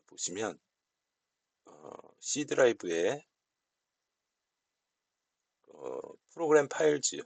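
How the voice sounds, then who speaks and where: A man giving a casual, unscripted software walkthrough, explaining politely step by step. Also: on the low side, vocal fry, husky, no echo. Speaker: software tutorial presenter.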